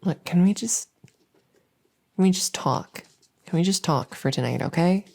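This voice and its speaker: A woman playing a needy, exasperated but harmless female monster under the bed, rambling and stammering softly, often in whispers, in an ASMR audio roleplay. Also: fairly low pitch, animated, a little slow, vocal fry, exaggerated voice. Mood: exasperated, pleading. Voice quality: soft, often breaking into whispers. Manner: rambling, stammering, pleading, silly.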